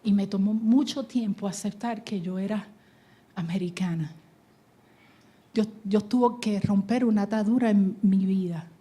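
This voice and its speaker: Woman from new jersey preaching, husky voice, goes loud then drops to a whisper. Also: medium pitch, a little slow. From United States (New Jersey). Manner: shifts from loud exhortation to hushed whispers. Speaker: conference speaker. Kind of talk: preaching testimony. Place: conference hall stage.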